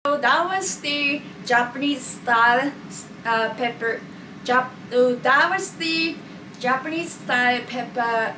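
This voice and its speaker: High-voiced woman, cheerful cooking vlogger. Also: distant mic, noisy background. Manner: cheerful, chatty, slightly halting. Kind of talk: casual cooking vlog chat. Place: small room with curtain backdrop. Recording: roomy, mic set back, music underneath.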